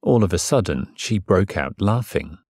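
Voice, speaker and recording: An actor playing a lovesick, submissive gentleman in an audiobook drama, earnest and measured, with a husky voice. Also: low voice, expressive, no echo, studio quality, exaggerated voice. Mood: reflective. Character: Severin, lovesick, submissive gentleman narrating his passion. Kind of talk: dramatised first-person audiobook narration.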